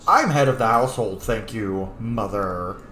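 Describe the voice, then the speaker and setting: A man's voice: fairly low pitch, animated, unhurried, pushed and bright, roomy sound, soft background music. A man giving casual playthrough commentary as a game streamer, playfully talking back to the game.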